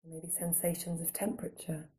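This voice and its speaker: Very mellow, soft-toned woman guiding meditation. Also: on the low side. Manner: calm, unhurried, gentle phrasing with long pauses. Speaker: meditation guide. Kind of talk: guided body scan meditation, slow soothing instruction.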